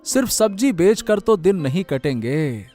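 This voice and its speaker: A struggling village vegetable seller acted by a man in a cartoon story. He sounds worried and complaining, in a fairly high voice. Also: big pitch swings, measured pace, warm tone, soft background music.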